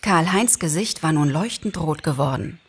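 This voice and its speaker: Husky woman reading a story. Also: deep voice, lively intonation, moderate pace. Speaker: narrator. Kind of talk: expressive scripted story reading.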